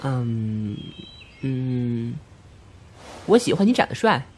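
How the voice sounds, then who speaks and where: A man's voice: medium pitch, big pitch swings, slow, a little hiss or hum. A man acting a guileless, blunt young guy. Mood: earnest, blunt. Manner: hesitant, guileless. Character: naive, blunt, good-natured young man with a crush. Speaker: amateur voice actor. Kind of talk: audio drama acting.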